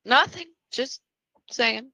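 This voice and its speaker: A fairly high, bright voice for a snide young female patient in a horror drama, read by a woman. She needles and gossips dryly, in a close, echo-free sound. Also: expressive, takes it slowly, exaggerated voice. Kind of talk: table read of a horror script.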